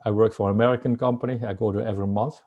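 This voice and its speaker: A man's voice: fairly low pitch, steady tone, rapid-fire, narrow, tinny audio. A middle-aged Dutch man with a Dutch accent, a guest in an interview, talking with many fillers and restarts. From Netherlands. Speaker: guest entrepreneur. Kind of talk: casual interview talk.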